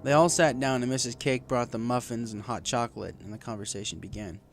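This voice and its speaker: A man's voice: mid-range voice, steady tone, brisk, vocal fry, faint music behind. A man reading a story aloud as scripted narration. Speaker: fan fiction narrator.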